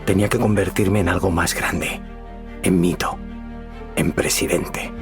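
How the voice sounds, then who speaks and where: An actor playing a megalomaniac football legend turned politician from Spain in an audio drama, with a Spanish accent and grandiose manner. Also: male voice, sits low, steady tone, measured pace. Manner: grandiose, self-mythologizing. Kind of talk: scripted audio drama acting. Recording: dramatic music underneath. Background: dramatic trailer music.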